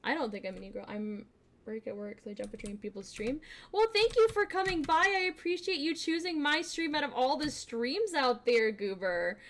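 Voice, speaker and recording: A young woman streamer chatting casually with her viewers, bubbly and giggly, with lots of fillers, switching between quiet asides and excited greetings. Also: moderate pace, a little hiss or hum. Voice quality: fairly deep voice for a woman. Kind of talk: casual live-stream chat.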